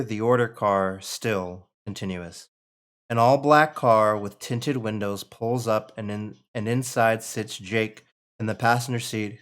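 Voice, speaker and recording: An American man leading a script table read as writer and narrator, reading and chatting casually. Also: lowish voice. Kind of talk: casual reading of screenplay action and post-read chat.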